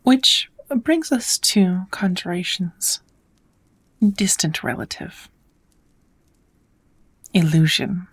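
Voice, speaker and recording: A woman playing a kind witch who patiently teaches magic in a soft, often whispered ASMR roleplay voice. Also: lowish voice, lively intonation, slightly rough, exaggerated voice. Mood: gentle, musing. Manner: patient, encouraging, unhurried teaching. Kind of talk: ASMR roleplay, whispered lesson to one listener.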